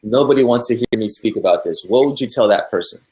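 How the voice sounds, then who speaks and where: A man's voice: medium pitch, fast talker, a little mellow. A man hosting a podcast, asking an interview question in an encouraging, affirming way. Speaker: podcast host.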